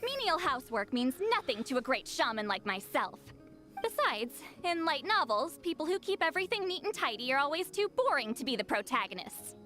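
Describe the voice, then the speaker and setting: A proud, aloof shaman from an anime game. Her high voice moves animatedly up and down, haughty yet easily flustered. Soft music sits behind it. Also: sing-song, brisk, full character voice. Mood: defensive pride. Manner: haughty, hesitant when flustered.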